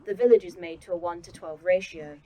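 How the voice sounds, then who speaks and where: A woman's voice: fairly low pitch, fairly quick, dark tone, lightly acted. Woman voicing a dry, precise AI. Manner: precise, dry, oddly proud of hobbies. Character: calm female AI running a secret facility. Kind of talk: audio drama acting.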